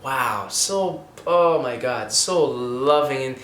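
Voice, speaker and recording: A man's voice: mid-range voice, animated, slow pace, fairly bright tone, a little hiss or hum. A young man from Syria, talking casually to camera with money tips, rambling and earnest, often stopping to restart and adding "okay?". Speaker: vlogger giving money tips. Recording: echoey room.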